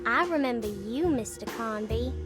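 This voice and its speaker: A woman's voice: a little high, expressive, moderate pace, over music, natural voice. A female performer playing an eerie, solemn young girl in a horror game, guarded and knowing in a childlike way. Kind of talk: cinematic game dialogue acting.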